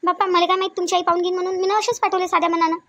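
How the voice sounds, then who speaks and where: A woman's voice: very high-pitched, flat delivery, very fast, fairly bright tone, no echo. A woman playing a naive, plaintive young wife in a family drama, with a Varhadi Marathi accent. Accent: Varhadi Marathi of Vidarbha. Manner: plaintive, innocent. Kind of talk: amateur family comedy-drama dialogue.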